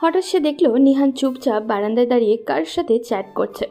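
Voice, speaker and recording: A woman's voice: sits high, lively intonation, brisk, dark tone, soft background music. A woman narrating a scripted story as its storyteller.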